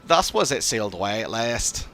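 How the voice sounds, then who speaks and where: A man voicing a courteous, scholarly old father in a fantasy game, speaking in a formal, measured, old-fashioned way. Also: lowish voice, big pitch swings, a bit bright, slight background noise. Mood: thoughtful. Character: courteous old father, scholarly theorist recovering from long illness. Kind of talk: fantasy game dialogue read aloud.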